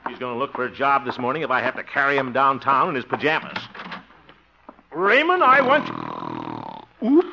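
A man's voice: mid-range voice, very animated, normal speed, long pauses, natural voice. An actor playing a blustery, self-satisfied, jovial man in 1950s radio comedy, theatrical and full of chuckles and exclamations. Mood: exasperated, playful. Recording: muffled, noisy vintage radio recording.

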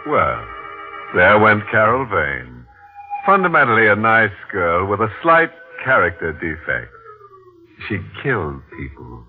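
A gleefully sinister host of an old radio horror show. His voice is slow and very animated, dripping with mock-genteel sarcasm. The sound is thin, narrowband vintage radio. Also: fairly low pitch. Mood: ghoulish amusement. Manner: sardonic, mock-genteel, drawn-out delivery. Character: sinister, gleefully macabre, wisecracking mystery host. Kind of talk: tongue-in-cheek 1940s radio horror hosting. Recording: old narrowband radio recording, faint music.

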